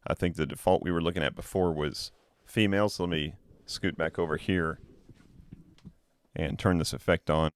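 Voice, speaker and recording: Low-voiced man casually reviewing gear. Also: normal speed, smooth. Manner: relaxed, explanatory, thinking aloud. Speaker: tech reviewer. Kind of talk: casual product review demo.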